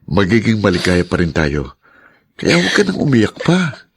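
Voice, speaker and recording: An actor playing a troubled, soft-hearted young Filipino husband in a radio drama, subdued and earnest. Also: male voice, fairly low pitch, wildly swooping pitch, moderate pace, husky, exaggerated voice. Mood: consoling.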